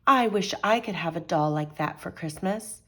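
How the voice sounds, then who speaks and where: A woman's voice: on the low side, normal speed, fairly bright tone. A woman voicing an eager, kind-hearted little girl in a Christmas storybook, earnest and sweet. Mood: longing.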